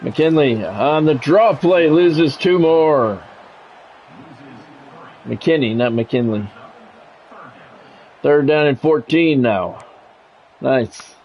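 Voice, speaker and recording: A man's voice: on the high side, lively intonation, extremely slow, long pauses. A man doing live play-by-play commentary over a football video game, in terse announcer phrases with candid asides. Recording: dull, lo-fi mic with faint background noise.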